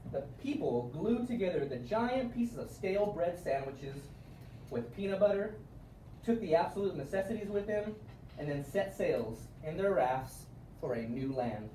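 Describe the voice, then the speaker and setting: A man reading a children's storybook aloud in an expressive way with emphatic word stress, recorded at a distance in a room. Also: high-pitched, moderate pace. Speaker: storybook reader. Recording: roomy, distant mic with faint noise.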